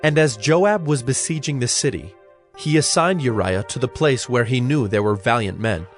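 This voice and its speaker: Man narrating bible story, medium pitch, formal and measured, music playing under him. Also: lively intonation. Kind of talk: dramatized scripture narration.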